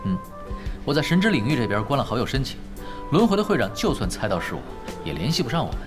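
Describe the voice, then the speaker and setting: Casting: unflappable young gamer strategist, mid-pitched, animated swings, dry teasing, radio drama. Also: male voice, fast talker. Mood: explaining. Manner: easygoing, teasing, mock-modest. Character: shrewd, unflappable male veteran gamer, dryly teasing. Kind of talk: Chinese radio drama acting. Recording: studio voice over clear background music.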